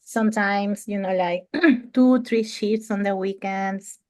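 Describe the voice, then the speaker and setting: A woman from Peru in her thirties, a guest researcher answering interview questions thoughtfully, with occasional laughs and throat clearing. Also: mid-range voice, steady tone, slow pace, no echo, clean studio sound.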